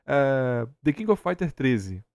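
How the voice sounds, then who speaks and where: A man's voice: mid-range voice, sing-song, takes it slowly, vocal fry, darkish tone, no echo, clean studio sound. A young Brazilian man giving casual, opinionated reaction commentary, argumentative and exasperated, hammering points home by repeating them. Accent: Brazilian Portuguese. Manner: exasperated, argumentative, emphatic repetition. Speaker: streamer reacting to a video.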